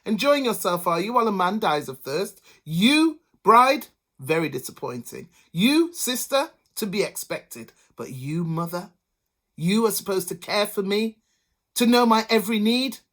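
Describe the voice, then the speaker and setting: A woman's voice: medium pitch, big pitch swings, unhurried, voice pushed up. A woman voicing an indignant young husband who scolds and complains, in a whiny, raised voice. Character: indignant young husband, demanding and self-pitying.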